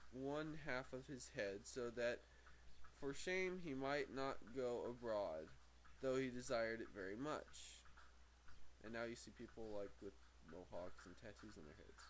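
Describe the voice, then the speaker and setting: A man with a mid-range voice reads a book aloud in an informal, amateur way. His delivery is halting, with stumbles, corrections and casual asides. Some background noise sits under the homemade recording. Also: medium pitch, rough recording. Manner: halting, stumbling over words and correcting himself. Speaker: amateur audiobook reader.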